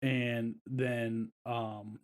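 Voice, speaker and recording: A man with a mid-range voice chats on a relaxed podcast. He wanders through a travel story at an easy pace, leaning on filler words as he goes. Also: mid-range voice, very slow. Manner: rambling, easygoing storyteller with frequent fillers. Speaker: podcast co-host. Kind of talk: casual podcast chat.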